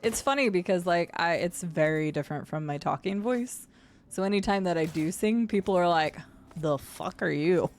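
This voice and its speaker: A young adult woman with a gravelly voice and deep vocal fry, chatting casually while streaming a game. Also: normal speed, darkish tone. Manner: chatty, swears and laughs at the game. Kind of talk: casual live-stream chat while gaming. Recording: faint game music behind.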